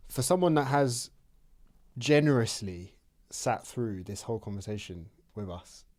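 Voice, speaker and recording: A man hosting a relaxed chat, rambling easily with frequent restarts. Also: mid-range voice, measured pace. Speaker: podcast host. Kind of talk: casual chat.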